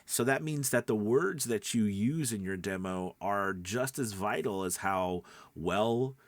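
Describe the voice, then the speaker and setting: A man's voice: on the low side, a little slow, dry recording. A man giving an informal tutorial as a voice-over coach, talking in a rambling, conversational way and often checking in with the listener. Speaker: voice-over coach and podcast host.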